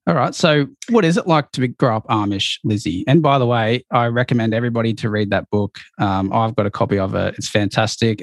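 A man's voice: fairly low pitch, dry recording. A man hosting an interview, asking questions in a friendly conversational way.

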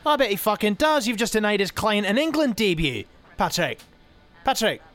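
A man's voice: high voice, big pitch swings, normal speed, fairly bright tone. An actor playing a sweary, exasperated football club staffer who needles his boss. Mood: angry mocking. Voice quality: constant swearing, sarcastic, needling. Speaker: actor in an audio comedy drama.

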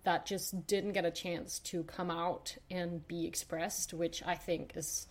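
A young woman from Denmark telling her personal story as a podcast guest, thoughtful and hesitant with many pauses and fillers. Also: lowish voice, fairly even intonation.

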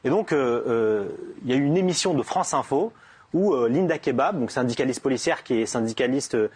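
A man's voice: mid-range voice, animated, a little fast, darkish tone, slightly rough. A man in a political discussion, arguing his point with stammering restarts.

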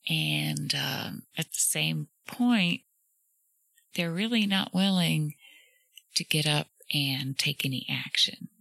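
A woman hosting a reflective solo podcast, talking gently with a soothing voice and frequent fillers. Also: low voice, takes it slowly, vocal fry, no room sound. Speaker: podcast host.

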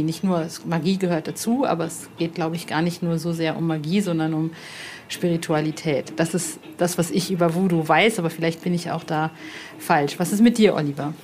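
Low-pitched woman chatting, background noise. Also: darkish tone. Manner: halting, rephrasing as she thinks. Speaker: podcast interviewer. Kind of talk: casual, spontaneous conversation. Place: indoor exhibition room. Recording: clearly noisy indoor ambience.

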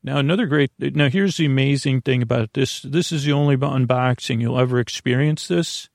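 Creaky voiced guy rambling through a bedtime podcast, keeps restarting and trailing off, mid pitch, real dry close sound. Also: no room sound. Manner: meandering, halting, repeats and trails off. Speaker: podcast host.

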